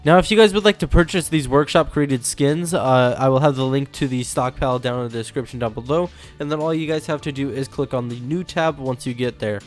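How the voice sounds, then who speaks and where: Casual low-pitched man hosting a gaming video. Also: a little fast, few pauses. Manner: informal, explanatory. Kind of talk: casual game update commentary. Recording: music behind the voice. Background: background music.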